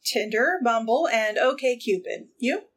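Quirky, wry female lead for comic audio play woman acting, high voice, blunt and playful. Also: expressive, measured pace. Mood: playful, exasperated. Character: Destiny, brash, quirky, wry single city woman.

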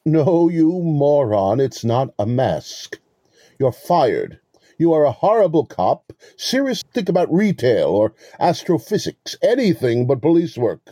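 Smug, pompous male swindler for an audio play, played by a man: very dark, husky tone, swooping intonation, wordy mock courtesy. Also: fairly high pitch, big pitch swings, measured pace, dry recording, clean studio sound. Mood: scornful, commanding. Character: smug, verbose male con artist posing as police lieutenant. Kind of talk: two-person audio play acting.

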